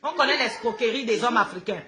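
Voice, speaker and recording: A woman's voice: a little high, fast, crowd noise. A woman with a Gabonese accent, a native Fang speaker, ranting live to her followers in an outspoken, combative, repetitive way. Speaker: social media host.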